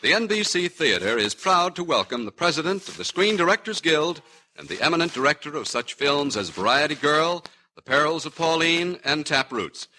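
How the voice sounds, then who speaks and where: A man's voice: a little high, big pitch swings, normal speed, bright, forceful tone, rough recording. A man working as a network announcer, reading a formal introduction in old-time radio style. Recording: old radio recording, faint noise.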